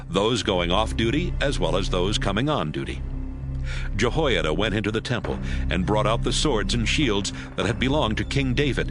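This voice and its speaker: A man with a lowish voice narrates a dramatized Bible account. His delivery is scripted and expressive, with lively movement in pitch, and music runs clearly underneath. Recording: music bed behind voice.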